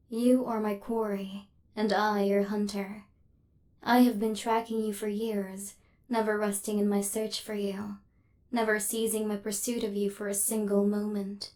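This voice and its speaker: A woman's voice: mid-range voice, darkish tone, lightly acted. A woman voicing a female robot assassin in an ASMR roleplay, cold and relentless, with clipped, formal, mechanical delivery.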